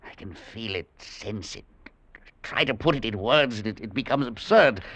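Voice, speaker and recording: A nervous, stammering professor. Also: male voice, fairly low pitch, animated, normal speed, fairly bright tone, no room sound. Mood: agitated. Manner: stammering, agitated. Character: nervous, haunted middle-aged male professor. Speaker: professor and scientist. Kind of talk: 1940s radio acting.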